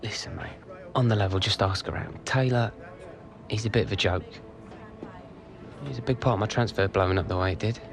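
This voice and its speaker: An actor playing a cocky, blunt young English footballer in a naturalistic game cutscene. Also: male voice, lowish voice, a little fast, long pauses, close to an everyday voice. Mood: blunt, bitter. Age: young adult.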